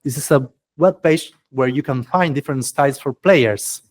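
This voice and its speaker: A man's voice: medium pitch, normal speed. Enthusiastic man hosting, English speaker. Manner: enthusiastic, chatty, non-native English phrasing. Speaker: meetup host and presenter. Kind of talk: casual, informal event presentation.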